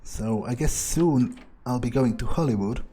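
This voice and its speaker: A man's voice: medium pitch, moderate pace, dark tone, slightly rough, close to an everyday voice. A man playing a cruel, impatient vampire in a video game roleplay, musing aloud with menace. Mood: brooding, musing.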